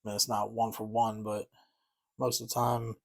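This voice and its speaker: A man's voice: lowish voice, completely flat delivery, normal speed, gravelly. A man chatting casually and rambling a bit as he paints miniatures, easygoing and self-deprecating. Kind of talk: casual chat while painting.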